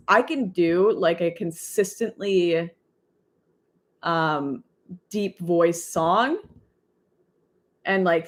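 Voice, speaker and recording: A young woman with a deep voice, hosting a casual livestream and reading out chat messages. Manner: chatty, rambling, laughs often.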